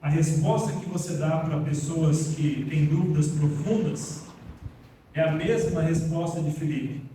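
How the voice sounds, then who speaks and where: A man preaching in a big echoey church hall, heard from a distance off the microphone. His voice sits high for a man yet mellow in tone, and he talks plainly and earnestly to his listeners. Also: unhurried, a little hiss or hum. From Brazil. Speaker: pastor. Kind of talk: sermon.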